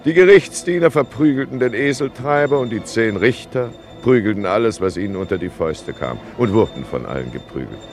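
An actor playing a weary, dignified judge in a radio play, narrating in a measured, deadpan manner. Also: male voice, on the low side, faint music behind. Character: weary, dignified male judge recounting chaos drily.

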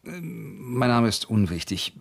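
An actor playing a secretive, curious man who investigates by telephone in a German radio crime drama, with halting, evasive answers. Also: fairly low pitch, big pitch swings, gravelly, breathy edge, heavily acted. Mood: evasive, insistent.

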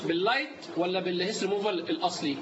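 A man's voice: high-pitched, rapid-fire. A man with an Egyptian Arabic accent teaching a live class. He keeps quizzing his students as he goes. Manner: lively, quizzes the students often. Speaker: instructor. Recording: dull lo-fi recording with steady noise.

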